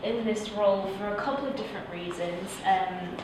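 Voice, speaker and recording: A woman's voice: medium pitch, fairly even intonation, moderate pace. A woman with a Manchester accent, talking informally as an actor on a panel. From Manchester, England. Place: indoor event room at a film festival panel. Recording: echoey room from far off, noisy.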